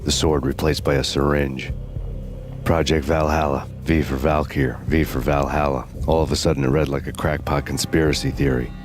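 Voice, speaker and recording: Brooding male noir narrator, extremely deep, monotone, score under him. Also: normal speed, over music. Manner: bleak, brooding monologue. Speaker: narrator reading a game story.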